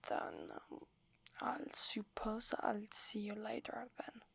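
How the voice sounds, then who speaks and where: A woman with an Italian accent playing a shy, devoted young werewolf in a breathy, hesitant ASMR whisper. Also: medium pitch, huge pitch swings, a bit bright, full character voice. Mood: anxious, deferential. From Italy. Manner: hesitant, halting, deferential. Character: devoted, shy young female werewolf serving her alpha.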